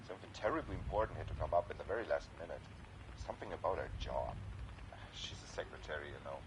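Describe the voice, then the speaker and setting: A man's voice: deep voice, noisy background. A man voicing a jilted boyfriend in a game, rambling and aggrieved as if on a phone call. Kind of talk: acted game dialogue.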